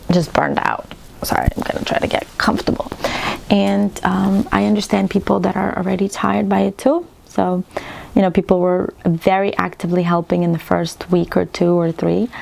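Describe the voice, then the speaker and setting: Creaky-voiced Ukrainian woman vlogging. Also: on the low side, darkish tone. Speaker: needlework vlogger. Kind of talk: casual personal vlog chat. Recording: clearly audible background room noise.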